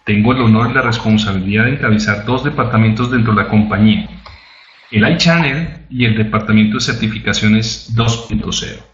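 A man's voice: fairly low pitch, brisk, fairly bright tone. A man from Colombia leading an online company presentation, upbeat and rallying, talking to the chat. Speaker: corporate department head. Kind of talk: webinar presentation. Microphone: computer mic on a web conference. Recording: roomy, dull web conference audio.

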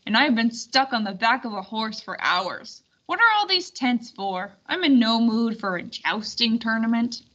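A woman's voice: fairly high pitch, animated, normal speed, hardly any pauses, pushed and bright, dull audio. A woman voicing a medieval king in a children's storybook. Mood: grumpy. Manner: muttering, grumbling. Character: grumpy birthday king, sour then grateful.

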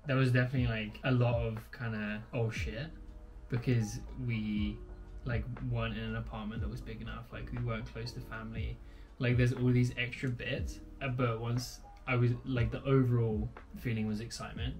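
A man's voice: medium pitch, level tone, moderate pace, music in the background, low-quality recording. A man in his mid twenties answering questions as a guest, laid-back and casual, with lots of filler words, recorded on a fixed camera in a bedroom. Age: 20s. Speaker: guest, partner. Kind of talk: casual chat. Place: bedroom at home.

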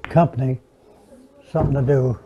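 A man's voice: medium pitch, fairly even intonation, moderate pace, muffled recording, noisy background. A man in his nineties from the United States giving short, casual interview answers. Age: 90s. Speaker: pool player being interviewed.

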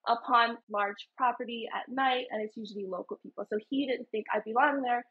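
Husky-voiced woman from Hawaii on a muffled call. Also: on the high side, a little fast. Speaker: podcast co-host. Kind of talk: casual panel chat.

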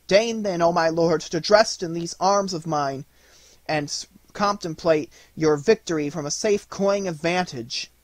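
Male narrator as devoted lady doll, high pitch, courtly, florid, pleading, storybook. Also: unhurried, dry recording, close to an everyday voice. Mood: anxious, pleading.

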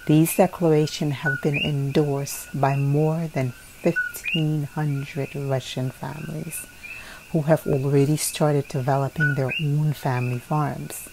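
Low-voiced woman reading solemnly. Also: level tone. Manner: solemn, measured reading with occasional slips and restarts. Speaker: narrator reading a declaration. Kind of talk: earnest read-aloud declaration. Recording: noisy home recording.

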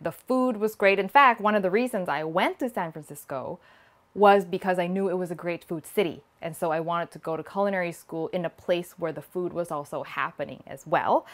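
A woman from Thailand in her thirties chats to camera in her home kitchen. She talks in a lively, friendly way and laughs easily as she answers viewer questions. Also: on the low side. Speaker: cooking channel host. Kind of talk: casual Q&A vlog. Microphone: small lapel mic.

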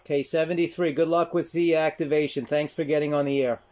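Higher-pitched man, casual radio hobbyist. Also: little pitch movement, fast, a little mellow. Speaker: amateur radio operator and channel host. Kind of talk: casual vlog narration and radio contact talk. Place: small indoor radio desk at home. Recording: noisy room with radio static.

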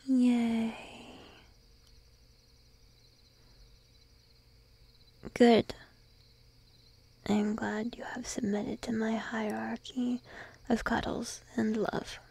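A woman voicing a shy, needy, teasing sheep-girl girlfriend in an ASMR roleplay, speaking in a soft breathy whisper close to the microphone. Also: on the high side, little pitch movement, heavily acted. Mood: sleepy, content. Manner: slow, drowsy, playfully coaxing. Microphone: close microphone, dry.

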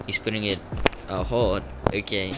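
A man's voice: lowish voice, steady tone, moderate pace. A young man, an Afrikaans speaker, giving rambling casual gameplay commentary. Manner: rambling, filler-heavy. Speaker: game youtuber. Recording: voice-over with loud game music.

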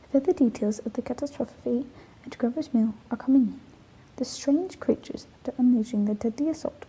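A woman playing a shaken studio news announcer in a radio play, grave and halting, with a breathy, husky voice. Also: fairly high pitch, dark tone, rough recording.

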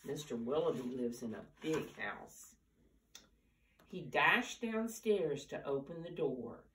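An elderly American woman with a low voice leads storytime for small children. She speaks very slowly and simply, like a teacher, with lively rises and falls. The mic sits some distance away in a room at home. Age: 70s or older. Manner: simple, deliberate teacher-like phrasing with questions to children. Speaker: storyteller reading to preschoolers. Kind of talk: storytime talk and picture-book reading for young children. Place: living room at home, indoors. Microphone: camera a few steps away.

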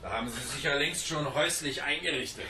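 An actor playing a mocking, domineering police inspector with a sinister edge in a radio drama, taunting and commanding. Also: male voice, mid-range voice, quick pace, pushed and bright, slight background noise. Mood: mocking, menacing.

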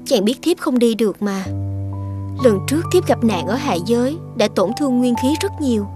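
A woman voicing a devoted young fairy wife in an animated fairy tale, gentle and formal in her phrasing. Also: a little high, moderate pace, over music, close to an everyday voice. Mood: sorrowful, pleading.

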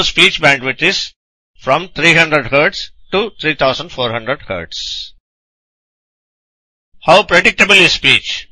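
A man's voice: a little high, bright, forceful tone, dry recording, poor audio. A man narrating a scripted technical lecture in a didactic manner, posing questions and then answering them.

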